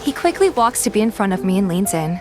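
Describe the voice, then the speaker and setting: A soft, earnest young woman of average pitch, acted by a female fan dubber, the shy heroine of an otome game. She tells her own feelings in a tender-hearted way over clearly audible music. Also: big pitch swings, fast talker, natural voice. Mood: pensive narration. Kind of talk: first-person narration and dialogue in a game fan dub.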